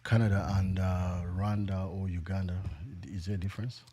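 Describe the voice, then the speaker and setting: Husky-voiced young male podcast host. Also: low-pitched, level tone, moderate pace, mellow. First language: not English. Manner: laughs often, asks follow-up questions. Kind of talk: casual podcast chat.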